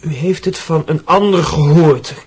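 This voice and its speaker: Prickly young student, very husky, higher-pitched. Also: male voice, measured pace, a little mellow. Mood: bitter, guarded. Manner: sarcastic, curt. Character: prickly, sarcastic young male student, guarded and unhappy. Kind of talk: Dutch radio play acting.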